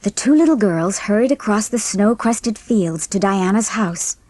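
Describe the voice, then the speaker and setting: A woman narrator reading a scripted storybook in a measured, expressive way. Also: mid-range voice, no room sound.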